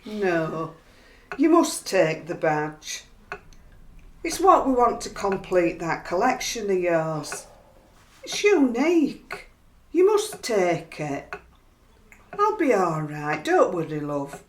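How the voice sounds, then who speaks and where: Actress playing unhappy working-class wife, Yorkshire accent, blunt, slow delivery, very animated intonation, radio play. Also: female voice, lowish voice. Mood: subdued, sad. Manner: blunt, scolding, turning weary. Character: downhearted, resentful working-class wife of a rugby player. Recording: roomy, echoing home-made recording.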